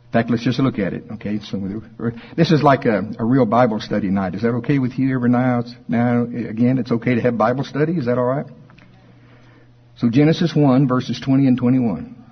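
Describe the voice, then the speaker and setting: A man preaching in an informal Bible study, conversational and folksy, slowing with deliberate emphasis as scripture is read aloud. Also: medium pitch, fairly even intonation, breathy edge, muffled recording. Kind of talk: informal Bible teaching to a congregation, reading verses aloud. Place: speaking live before a congregation.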